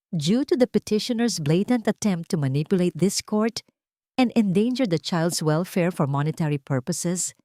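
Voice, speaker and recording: Woman narrator as a stern judge, low pitch, formal measured delivery, dry sound, audio drama. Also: a little mellow, dry recording. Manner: formal, measured, pronouncing rulings.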